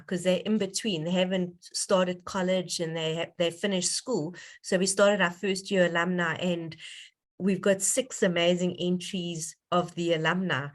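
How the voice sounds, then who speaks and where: A woman hosting a donor conference call, talking casually in an effusive, enthusiastic and appreciative way over an online connection. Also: on the low side.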